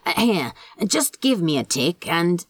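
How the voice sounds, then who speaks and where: A woman's voice: fairly low pitch, very animated, a little slow, no echo. A woman voicing a fond, prickly male demon in a story, drawling and hesitant, easily exasperated. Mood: fond, casual.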